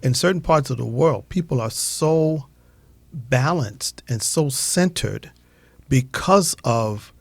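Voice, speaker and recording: A man in a reflective radio conversation, speaking in a measured, affirming way. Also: medium pitch, expressive, creaky, no echo.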